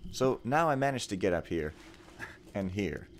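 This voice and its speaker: A man's voice: on the low side, big pitch swings, normal speed, slight background noise. Laid-back man rambling over gameplay. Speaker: let's play host. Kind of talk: casual gameplay commentary.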